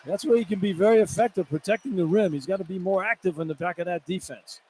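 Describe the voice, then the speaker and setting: A man's voice: sits high, fast, a little mellow. A man giving live basketball color commentary as an analyst, conversational and opinionated. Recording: poor radio feed, clear background noise.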